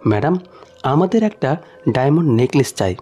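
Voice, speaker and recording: A man's voice: mid-range voice, big pitch swings, moderate pace, dark tone, faint music behind. A man voicing a resolute young woman official in a story, polite but firm. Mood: calm, firm.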